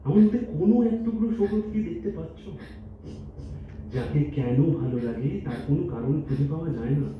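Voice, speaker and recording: In an echoey hall, some way from the mic, an actor plays an intense young man in love. His fairly high voice has a Kolkata Bengali accent, and he sounds wounded and earnest in a theatrical, emphatic style. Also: lively intonation, fast, natural voice. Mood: pleading. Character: hurt, accusing young man lover, earnest and intense, Sougata. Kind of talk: staged audio drama acting. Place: multipurpose hall stage in Kolkata. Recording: echoey hall, distant, muffled, faint noise.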